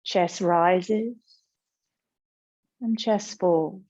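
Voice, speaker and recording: A woman meditation teacher speaking in a gentle, calm, unhurried way with pauses as she guides a practice. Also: fairly low pitch, highs cut off.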